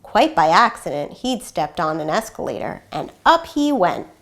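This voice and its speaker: A woman teacher giving an expressive, instructive storybook read-aloud for children, pausing to pose questions. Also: on the low side, vocal fry.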